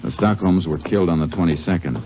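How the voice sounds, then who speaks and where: An American man playing a calm, methodical Texas Ranger in a 1950s radio western, with a terse, matter-of-fact delivery. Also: deep voice, fast, heavy background noise, lightly acted. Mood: methodical, focused. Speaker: lead actor and narrator. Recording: dull old radio recording with music.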